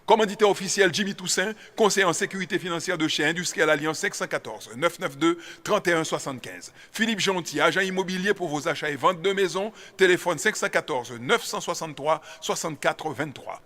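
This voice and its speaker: A man's voice: fairly high pitch, fairly quick, few pauses, bright, forceful tone. A man reading a promotional radio advertisement as its announcer, listing services and contact details.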